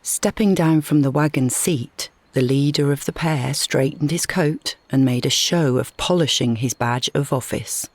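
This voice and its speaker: A woman reading a scripted short story as narrator, in a measured, dramatic storytelling manner. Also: a bass voice, a little mellow.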